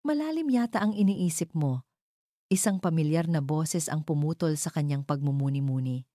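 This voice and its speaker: A woman narrates a scripted romance in a low register. Her tone is soft-edged and dark, and her delivery is measured and sentimental. The sound is dry, with no room on it. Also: no room sound. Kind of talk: scripted dramatic story narration.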